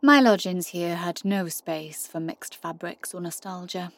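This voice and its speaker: A woman playing a wry, wistful giant from the country in a first-person audio drama monologue, telling her story expressively with hushed, confiding asides. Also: lowish voice, a little slow, creaky, no echo. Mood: wondering.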